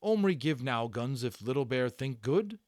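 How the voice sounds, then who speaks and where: A man acts out a proud and stern storybook warrior chief. He speaks in weighty, clipped broken sentences with big swings of pitch, bitter yet honorable. The sound is close and dry. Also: animated, moderate pace, a little mellow, no echo, natural voice. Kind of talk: dramatic storybook character reading.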